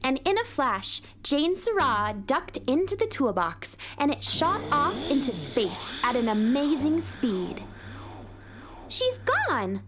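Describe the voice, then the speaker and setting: A woman's voice: sits high, sing-song, takes it slowly, noisy background, full character voice. A woman playing a plucky, earnest little girl adventurer in a children's radio comedy. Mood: storytelling. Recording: music behind the voice.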